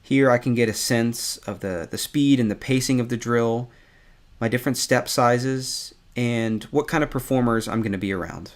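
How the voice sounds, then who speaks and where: Man walking through a software tutorial. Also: medium pitch, normal speed. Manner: explanatory, conversational. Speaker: tutorial instructor. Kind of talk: step-by-step software tutorial narration.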